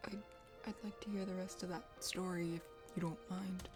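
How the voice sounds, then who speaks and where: A woman voicing a proud but shy young male hunter prince in a visual novel, with a haughty, halting manner. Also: lowish voice, steady tone, voice pushed down, close to an everyday voice. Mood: bashful. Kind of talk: visual novel character voice-over. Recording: music under the voice.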